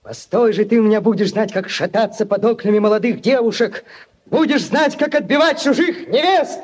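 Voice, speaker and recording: A bold young Cossack lad in a vintage radio play. His voice sits very high for a male and rings out theatrically. He is rebellious and rousing, a born ringleader. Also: normal speed, slightly rough. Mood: indignant. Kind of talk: 1940s Soviet radio play acting. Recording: old 1940s radio recording.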